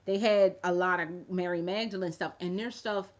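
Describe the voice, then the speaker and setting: A woman's voice: lowish voice, fairly even intonation, moderate pace. A woman in her thirties chatting casually to camera as a fashion vlogger, rambling and swearing freely with jokey asides. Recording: dull lo-fi recording.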